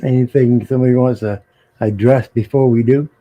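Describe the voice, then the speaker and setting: A man's voice: medium pitch, fairly even intonation, a little fast, dull audio. A man hosting a late-night online panel stream in an easygoing, folksy way. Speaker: host of a live panel stream. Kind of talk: casual live-stream chat.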